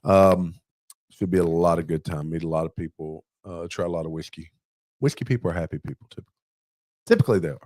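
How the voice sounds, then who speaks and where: Guy with a lowish voice doing casual live podcast banter, real informal. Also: fast, a little mellow. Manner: informal, conversational. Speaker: podcast host.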